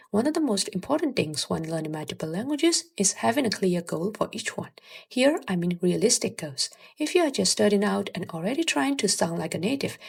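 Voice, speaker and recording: Young woman with very creaky voice. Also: medium pitch. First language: Burmese. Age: early 20s. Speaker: language-learning vlogger. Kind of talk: scripted advice narration to viewers.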